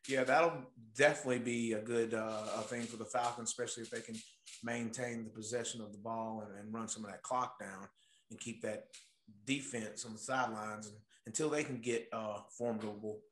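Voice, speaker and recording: A man hosting a sports show, talking casually and a little haltingly. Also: lowish voice, steady tone, a bit bright, slight background noise. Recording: echoey, roomy sound.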